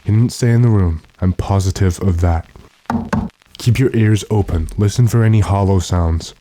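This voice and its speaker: A man playing an earnest, determined gentleman who gives commands dramatically, in the manner of an old radio drama. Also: sits low, moderate pace, noisy background, natural voice. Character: urgent, determined gentleman trapped with his manservant.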